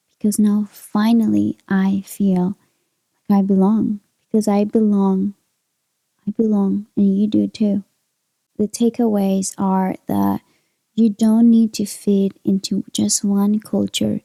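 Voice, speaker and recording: A young woman hosts a podcast in English, her first language being Spanish. She talks in an earnest, encouraging way and repeats her key lines for weight. Her voice is mellow with a gravelly edge, recorded close and dry. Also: mid-range voice, dry recording. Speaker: podcast host. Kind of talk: motivational podcast monologue.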